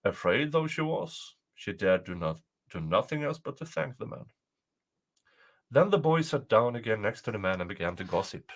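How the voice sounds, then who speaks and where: A man reading a folktale aloud as a storyteller, with deliberate, dramatic phrasing. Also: fairly low pitch, sing-song.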